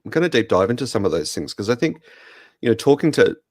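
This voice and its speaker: An Australian man with a croaky voice, casually hosting a podcast. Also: mid-range voice, very animated, fast, no room sound, clean studio sound. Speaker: podcast host.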